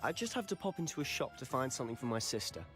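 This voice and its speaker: A man's voice: mid-range voice, lively intonation, fast, music in the background, lightly acted. A young man playing a confident, friendly student wizard guide in a fantasy video game, breezy and playfully formal.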